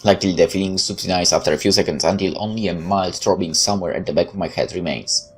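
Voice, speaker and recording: A man's voice: low voice, fast, slightly rough. A man hosting a let's play, reading a visual novel aloud and adding casual off-the-cuff commentary. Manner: off-the-cuff remarks between read passages.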